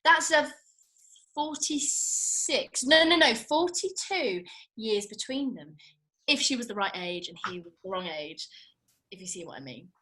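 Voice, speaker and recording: A woman's voice: mid-range voice, animated, unhurried, sharp tone, rough recording. A woman acting a feisty, blunt young working-class woman in a comedy script read, colloquial and sweary. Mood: muddled, insistent.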